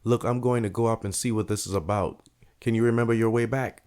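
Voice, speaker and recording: Actor as a low-voiced direct young heir, plain and determined. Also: male voice, fairly even intonation, brisk, darkish tone, natural voice. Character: determined young male heir caught in intrigue.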